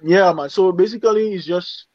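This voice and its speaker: A man's voice: sits high, normal speed, no room sound, highs cut off. A man from Dominica with a Dominican accent, a singer talking casually in an interview and often checking "you understand?". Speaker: singer guest.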